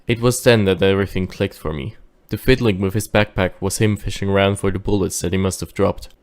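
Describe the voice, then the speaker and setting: Audio drama narrator: man acting a calm, secretly criminal driver, low-pitched, storytelling delivery. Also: a little fast. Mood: measured, wry. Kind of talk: scripted first-person audio drama narration.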